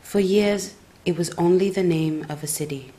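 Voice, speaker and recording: Low-voiced woman reading a narration. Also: normal speed, mellow, low-quality recording. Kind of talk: scripted narration.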